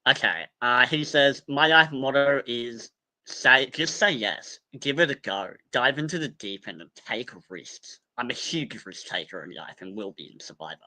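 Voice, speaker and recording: Chatty young Australian guy, bright voice. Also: mid-range voice, animated, normal speed, low-quality recording. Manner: chatty, swears casually, laughs at himself. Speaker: podcast host. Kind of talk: casual commentary reading cast bios.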